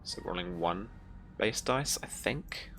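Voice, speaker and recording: Low pitch, bright forceful tone, tabletop game master, descriptive narration, conversational. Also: animated, slow, slight background noise.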